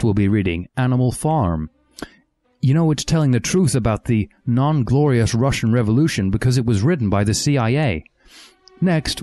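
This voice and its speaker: A man's voice: medium pitch, mellow, dry recording, lightly acted. Smug evil professor, as a man reads him. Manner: sneering, smug. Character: evil smug capitalist male professor, sneering and scheming.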